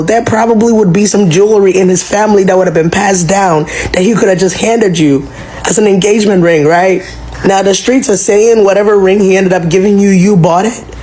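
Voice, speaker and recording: A woman's voice: medium pitch, darkish tone, slight background noise, rough recording. A woman from Ghana with a sore throat, giving teasing, incredulous commentary. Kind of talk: reaction commentary video.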